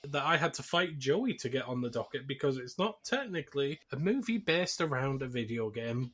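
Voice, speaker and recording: A man hosting a podcast, talking through a film in a casual, chatty style. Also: mid-range voice. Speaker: podcast host.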